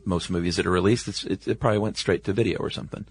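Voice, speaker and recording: A man's voice: deep voice, fairly even intonation, racing, slightly rough, dry recording. A man co-hosting a podcast in casual, laid-back chat with a wry streak. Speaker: podcast co-host.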